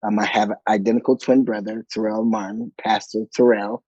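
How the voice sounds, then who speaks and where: A low-voiced man in his forties from Monterey, California, chats as a podcast guest, playful and quick to laugh, with plenty of ums. The sound is dry and a little dull, lo-fi. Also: flat delivery, darkish tone, slightly rough, no room sound. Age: 40s. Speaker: guest, choir director. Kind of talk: casual interview chat.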